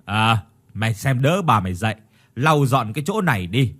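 A man playing a gruff, short-tempered older husband who scolds and curses his household. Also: medium pitch, sing-song, moderate pace, a bit bright. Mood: scolding. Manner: scolding, cursing, blustering.

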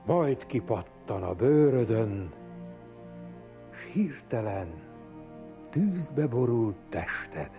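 Male poetry reciter: very low, dark voice, savoring exclamatory delivery, dull lo-fi recording with music. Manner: savoring, exclamatory, dramatic swells and hushes. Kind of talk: theatrical poem recitation.

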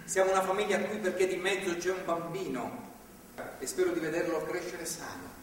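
Male actor as older paternalistic moraliser, firm, preachy, measured, stage drama, very echoey, faint noise. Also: high voice, natural voice. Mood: firm, patronising. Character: older, paternalistic religious man, firm and self-righteous. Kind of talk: theatrical stage acting, speechmaking. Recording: echoey theatre hall, distant mic, faint noise.

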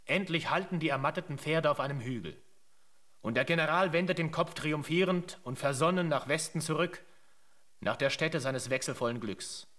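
Male narrator for historical radio drama: grave storytelling, slightly high pitch. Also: normal speed, a bit bright, natural voice. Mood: grave, dramatic.

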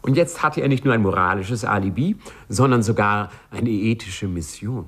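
Ironic narrator in an old 50s radio play, bit low and rough, polished storytelling. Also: male voice, animated, normal speed. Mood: ironic, urbane. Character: urbane, ironic male storyteller. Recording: 1950s radio studio recording.

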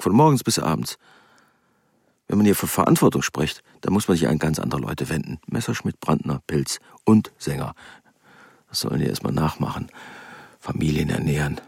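A man's voice: a bass voice, lively intonation, moderate pace, creaky, breathy edge, dry recording, studio quality, heavily acted. A man playing a stern, aggrieved middle-aged father in a German radio play, grumbling with disapproval. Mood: defensive, bitter.

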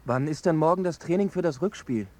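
An actor playing an earnest, lovestruck young footballer, speaking hesitantly in naturalistic radio drama dialogue. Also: male voice, a little high, fairly quick, a little mellow, natural voice. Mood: curious. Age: young adult. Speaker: character in dialogue.

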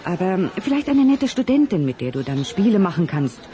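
A father in a radio play with a warm, mellow tone and very lively intonation. He coaxes and placates, always sounding reasonable. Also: sits high, a little fast. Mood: placating. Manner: coaxing, reasonable. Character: placating, persuasive middle-class father. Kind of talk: German radio play acting.

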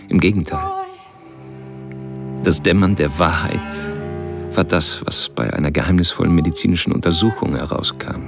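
An actor playing a lean, brooding man who calls himself a monster, confessing in measured, pompous, intellectual words in a radio drama monologue. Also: a bass voice, creaky. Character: brooding, self-declared monster, lean male killer narrating his confession.

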